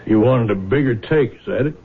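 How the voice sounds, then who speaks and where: An actor playing an older small-town lawman, gravelly and probing, dogged but concerned, in 1970s radio drama style. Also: mid-range voice, brisk. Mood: probing, firm. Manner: expressive, probing questioning. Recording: muffled lo-fi vintage radio broadcast.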